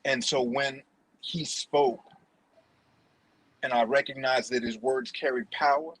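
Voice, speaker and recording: A man from New Jersey, a poet guest telling his story deliberately and repeating phrases for emphasis. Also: medium pitch, fairly even intonation, a bit bright, breathy edge, low-quality recording. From New Jersey, United States. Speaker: podcast guest, spoken word poet. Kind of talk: personal storytelling in an interview.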